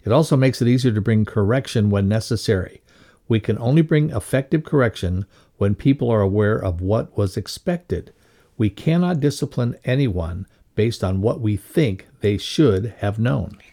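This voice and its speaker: Guy reading out a leadership lesson from a script, soft mellow tone, lowish voice. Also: animated, moderate pace. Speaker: reader of a leadership values lesson. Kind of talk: scripted instructional narration, read aloud.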